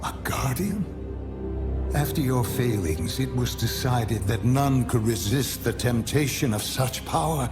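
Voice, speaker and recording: A man playing a grave, unhurried, resolute archmage. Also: mid-range voice, lively intonation, lightly acted. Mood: earnest, wary. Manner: grave, measured, theatrical delivery. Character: earnest, resolute older human archmage, male. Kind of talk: video game cinematic voice acting. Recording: loud music under the voice.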